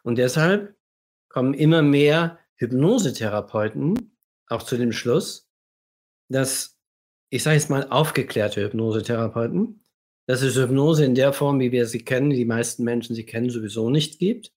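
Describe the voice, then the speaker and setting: A man with a scratchy, husky voice, slightly under the weather, giving an emphatic explanatory livestream monologue as a hypnotherapist, stretching key phrases and posing rhetorical questions. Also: medium pitch, normal speed, darkish tone.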